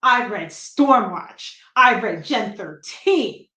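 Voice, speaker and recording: A middle-aged woman from New Jersey with a light Brooklyn accent, a comic writer chatting as a guest, self-deprecating and quick to laugh. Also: medium pitch, expressive, pushed and bright. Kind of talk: casual interview chat. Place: room at home. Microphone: video call. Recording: roomy echo on a video call.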